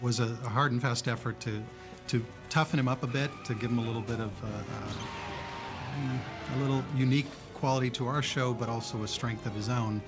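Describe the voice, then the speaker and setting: Mid-pitched man in casual interview. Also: fairly even intonation, moderate pace, over music. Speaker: animation creator interviewed.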